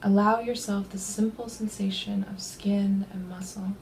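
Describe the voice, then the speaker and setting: A woman leading a guided body scan meditation, speaking gently and unhurriedly in a soothing way, with soft, breathy phrase endings. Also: medium pitch, level tone, measured pace, slight background noise, poor audio.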